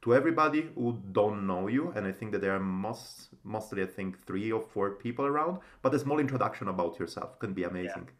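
Low-pitched, enthusiastic male podcast host. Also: expressive, fast, few pauses. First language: not English. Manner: enthusiastic, rambling, informal. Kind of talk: casual podcast intro and interview.

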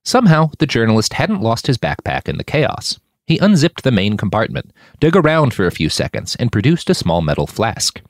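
A man's voice: mid-range voice, sing-song, brisk, no echo, clean studio sound. A man reading a fiction story aloud as a dramatic audiobook narrator. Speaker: author narrating his fiction podcast.

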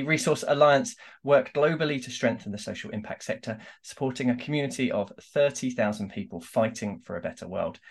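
A British man co-hosting a podcast in casual chat, rambling through a story with self-deprecating humour. His voice is mid-pitched and everyday. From United Kingdom. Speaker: podcast co-host.

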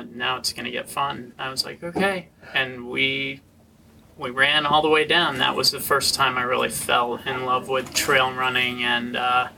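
Man, from Vermont, laid-back, bright gravelly voice, distant mic, faint noise. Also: mid-range voice. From Vermont, USA. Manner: laid-back, halting, many fillers. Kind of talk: casual personal storytelling.

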